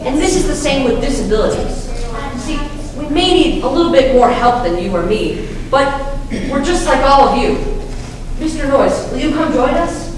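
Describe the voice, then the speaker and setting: A woman's voice: high-pitched, expressive, normal speed. A woman playing an upbeat, teacherly puppet host who encourages children in a scripted show. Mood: encouraging. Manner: teacherly, addresses audience with questions. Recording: echoey, noisy hall from far off.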